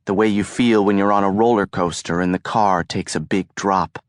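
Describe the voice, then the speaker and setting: A man's voice: on the low side, steady tone, no room sound, studio quality. Earnest male audiobook narrator. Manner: earnest, measured storytelling. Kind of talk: first-person audiobook narration.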